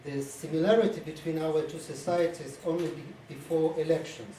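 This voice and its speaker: A man speaking formal, non-native English at a conference. His voice is mellow and a little high, and he proceeds haltingly with many hesitations. It is picked up from a distance in an echoey room. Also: steady tone. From Ukraine-born, lived mostly in Estonia. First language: not English. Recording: echoey room, distant mic, faint noise.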